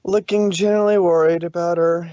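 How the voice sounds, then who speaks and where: A man reading scripted fan fiction as a storybook narrator, with a measured, dramatic delivery. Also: sits high, steady tone, dark tone, highs cut off.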